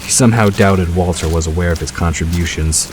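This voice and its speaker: Man, low-pitched narrator, scripted storytelling, clear rain and engine noise behind. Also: a little fast. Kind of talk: scripted audiobook-style narration. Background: rain and vehicle sound effects.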